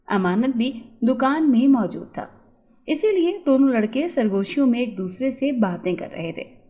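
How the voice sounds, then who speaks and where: Female storyteller, notably high voice, for scripted narration of moral tales. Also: a little mellow.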